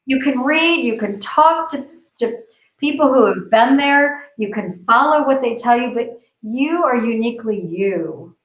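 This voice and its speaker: A woman's voice: on the high side, lively intonation, a little slow. A woman from the United States, co-hosting a casual discussion, talking earnestly and repeating phrases as she builds her point. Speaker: co-host. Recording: roomy, dull lo-fi video call audio.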